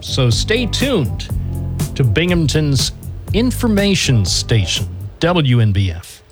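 A man hosting a local radio morning show, interviewing a guest. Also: medium pitch. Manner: measured, with pauses and fillers. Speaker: radio host.

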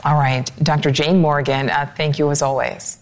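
A woman news anchor, brisk and polished, leading an interview and teasing upcoming stories. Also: low voice, little pitch movement, darkish tone. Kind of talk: news presenting.

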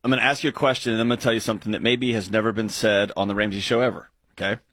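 A man's voice: on the low side, fairly even intonation, rapid-fire, a bit bright, no echo. A man in his forties co-hosting an advice call-in show, talking earnestly and reflectively with frequent restarts. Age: 40s. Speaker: co-host.

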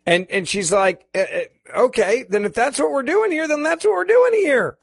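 A man's voice: expressive, vocal fry, husky, no echo. Emphatic male talk radio host, dry studio sound. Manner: emphatic, quick, pushes his points with rising pitch. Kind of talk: talk radio commentary and call-taking. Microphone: studio microphone.

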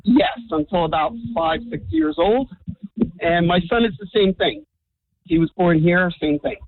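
A man calls in to a radio show, born in the United States with Hungarian as his first language. His voice sits high and comes through a narrow, tinny phone line. He talks softly and hesitantly, in a casual way. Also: expressive, unhurried, no room sound. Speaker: radio caller.

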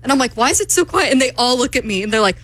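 A young woman co-hosting a radio show, telling a dating story in an animated way, laughing often and acting out what people said. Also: high-pitched, racing, a little hiss or hum. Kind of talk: casual chat, storytelling.

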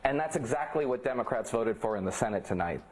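A man's voice: mid-range voice, expressive, fast talker, darkish tone, slight background noise. A man arguing a pointed political case on a cable news panel, heard in a played-back clip. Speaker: political commentator.

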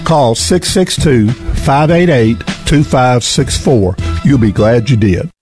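A male business owner earnestly reading his own radio spot. Loud music runs under him. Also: medium pitch, slow. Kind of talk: read commercial.

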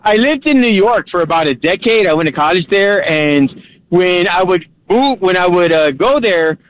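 A man's voice: high-pitched, expressive, normal speed. A man from Texas calling into a radio show, explaining his point earnestly. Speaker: caller. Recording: dull phone-line sound.

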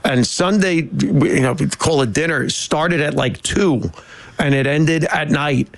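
A fairly high-voiced man hosts a loose, casual panel chat. He tells stories with animation and drops jokey asides between points. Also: a little slow, vocal fry. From United States. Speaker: host.

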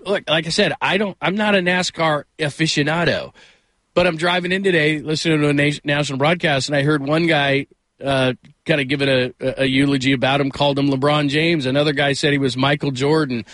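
A man's voice: a little high, a bit bright, no room sound. A man hosting a casual sports talk radio show, rambling conversationally through stories with self-deprecating asides. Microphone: close studio microphone.

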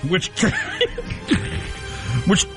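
Brief: grown man as a lively, sing-song boy lecturing his peers. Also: high-pitched, extremely slow, vocal fry, soft background music, exaggerated voice. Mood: insistent. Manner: lively, teasing, keeps saying "boys and girls". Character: grown man playing a preachy boy debater.

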